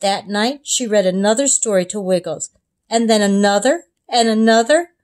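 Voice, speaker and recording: A woman narrating a children's storybook as a kindergarten teacher, expressive, in clearly separated phrases. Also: medium pitch, normal speed. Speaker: kindergarten teacher reading aloud. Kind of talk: storybook read-aloud narration.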